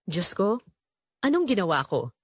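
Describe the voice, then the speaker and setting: Woman acting troubled mature woman, Filipino accent, hesitant, forbidden-love audio drama, animated pitch, dry sound. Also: moderate pace, husky, no room sound. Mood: anguished.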